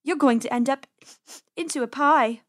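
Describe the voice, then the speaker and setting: A woman's voice: sits high, moderate pace, natural voice. A woman voicing a bossy, brave little girl rabbit in a children's storybook, urgent and take-charge. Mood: bossy, determined.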